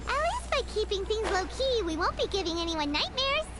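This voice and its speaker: A woman's voice: extremely high pitch, sing-song, fairly quick, very noisy. A woman voicing a tiny, bubbly female fairy companion in a video game, blurting things out. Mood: chirpy. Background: game music.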